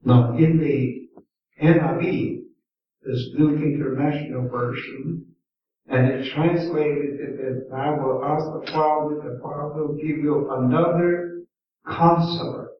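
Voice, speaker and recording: A male preacher whose first language is Mandarin Chinese, delivering a sermon in an unhurried way with long pauses and gentle emphasis. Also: fairly high pitch, steady tone. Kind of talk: slow, deliberate sermon. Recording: echoey hall, distant mic, dull lo-fi sound.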